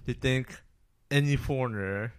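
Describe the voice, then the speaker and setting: A man co-hosting a casual film review chat, agreeable and quick to echo what is said. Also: mid-range voice, clean tone. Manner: agreeable, often echoing the other speaker. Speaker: podcast co-host.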